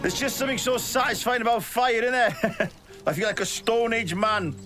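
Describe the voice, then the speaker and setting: A man's voice: extremely high pitch, normal speed. An actor playing a cheerful, boisterous, teasing man at a backyard barbecue in an audio drama. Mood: boisterous, amused. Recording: music under the dialogue.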